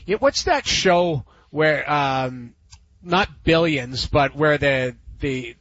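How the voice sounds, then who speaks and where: A man's voice: a little high, lively intonation, takes it slowly, fairly bright tone, no room sound, dull audio. A man in his forties co-hosting sports radio, rambling through stories and searching for words. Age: 40s. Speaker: radio sports show co-host. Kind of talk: casual sports radio banter.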